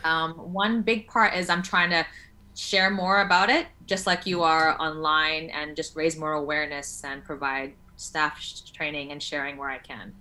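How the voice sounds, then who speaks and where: A woman from Hong Kong who works as a teacher answers a host's questions in a friendly, conversational way. Her voice sits low in pitch but has a bright, crisp edge, and she uses easy fillers as she talks. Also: steady tone, normal speed. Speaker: guest, learning support teacher. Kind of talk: interview answers.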